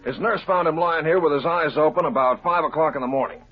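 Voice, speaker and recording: Actor as a brisk police detective, muffled old radio. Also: male voice, a little high, natural voice. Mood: matter-of-fact. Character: brisk, matter-of-fact male police detective. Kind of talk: 1950s radio drama acting. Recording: muffled vintage 1950s radio recording.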